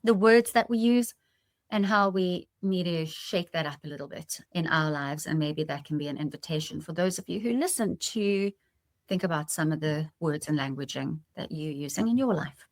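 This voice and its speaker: A woman's voice: low voice, normal speed, dry recording, studio quality. A woman hosting a podcast in a conversational, reflective way, explaining her thoughts to listeners. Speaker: podcast host.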